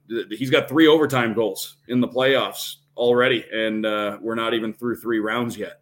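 A man talking as a sports broadcaster and podcast guest, analysing games and building his points step by step with emphatic repetition. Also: mid-range voice. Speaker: radio play-by-play announcer, podcast guest.

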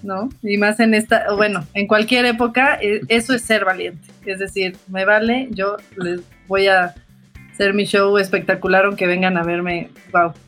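A woman's voice: medium pitch, fairly even intonation, moderate pace, fairly bright tone, faint music behind. A woman with a Mexican accent hosting an interview podcast, talking casually with many fillers and restarts. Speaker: podcast host.

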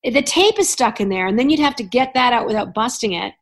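Chatty woman host telling animated stories. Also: a little high, fairly quick. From Canada. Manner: chatty storyteller, animated asides and laughs. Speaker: podcast host. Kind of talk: casual chat.

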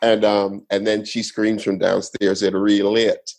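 Gravelly low-voiced man telling a story. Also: little pitch movement, unhurried, darkish tone, rough recording. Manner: laid-back, rambling with restarts. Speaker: guest storyteller. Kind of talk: casual podcast storytelling.

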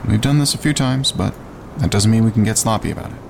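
Low, gravelly, level-headed hiker. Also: male voice, quick pace. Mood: calm, firm. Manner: level-headed, dryly teasing. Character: calm, practical, wry male wilderness hiker and photographer. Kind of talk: naturalistic audio drama acting. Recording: clear outdoor ambience and rumble.

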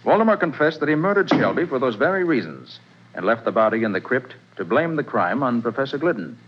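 Quick, lively, husky-voiced detective, 1940s radio drama, lo-fi. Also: male voice, mid-range voice. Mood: confident. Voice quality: brisk, self-assured explaining. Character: confident male detective explaining the case. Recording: dull old radio broadcast.

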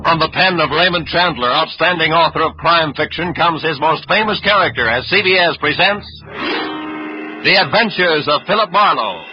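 A man's voice: on the high side, lively intonation, moderate pace. A man announcing a radio drama in dramatic, scripted 1940s style. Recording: dull old radio recording with music.

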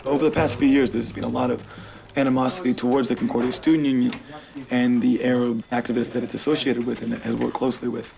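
A man speaking as a student union activist in an archival film clip, with a flat, measured delivery. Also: mid-range voice, vocal fry, a crowd in the background.

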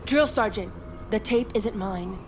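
A woman's voice: mid-range voice, a little slow, slight background noise, natural voice. A young woman with an American accent playing an earnest, uneasy army recruit in an audio drama. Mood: flustered, meek. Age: young adult. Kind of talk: naturalistic audio drama acting.

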